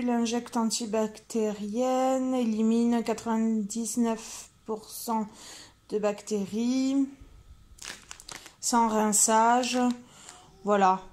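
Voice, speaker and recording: A woman talks casually to the camera. She is chatty and informal, thinking aloud as she goes. The recording has clear noise behind her. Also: on the high side, darkish tone. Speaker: vlogger. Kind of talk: casual vlog chat, showing purchases. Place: car interior, later a room at home.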